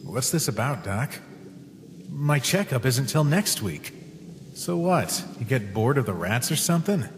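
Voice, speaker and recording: A man's voice: mid-range voice, very animated, unhurried, noisy background. A man voicing a young, laid-back but wary skeleton monster in a comic dub, with casual, slangy speech. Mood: wary, sardonic. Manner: casual, slangy, stammers when frightened. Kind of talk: comic dub character acting. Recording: echoey voice over music.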